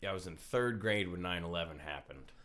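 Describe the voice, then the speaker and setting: A man's voice: low-pitched, lively intonation, a little fast, a bit bright. A man in his twenties from Connecticut, a comedian chatting loosely as a podcast guest and swearing freely. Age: 20s. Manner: rambling, swears casually. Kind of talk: casual podcast chat.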